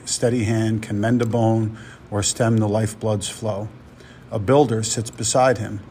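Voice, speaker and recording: A man reciting poetry with earnest, deliberate phrasing. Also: fairly low pitch, a little mellow, a little hiss or hum. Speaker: volunteer poetry reader, teacher.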